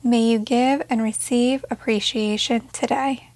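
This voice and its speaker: A woman leading a guided meditation in a gentle, measured way, leaving pauses between short phrases. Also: on the high side, fairly even intonation, no echo.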